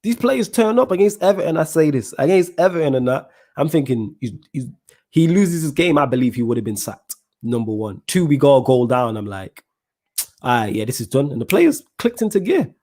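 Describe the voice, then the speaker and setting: A man's voice: fairly high pitch, brisk. Slangy man hosting a live stream. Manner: animated, heavy slang, keeps saying "you know what I'm saying". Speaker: podcast host. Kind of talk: live-stream chat reading viewer comments.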